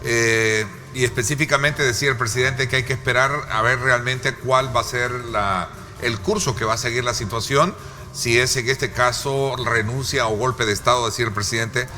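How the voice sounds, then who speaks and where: Male interview host, Salvadoran accent, bright forceful tone, formal and wordy delivery, music underneath. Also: medium pitch, steady tone, normal speed. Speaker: talk show host. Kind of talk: broadcast political interview.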